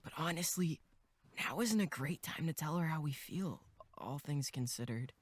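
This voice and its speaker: A woman's voice: sits low, lively intonation, normal speed, breathy edge, no echo. A woman voicing a protective, dry-humored young male camp counselor in an audio drama, casual and reassuring. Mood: guarded. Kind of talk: horror audio drama acting.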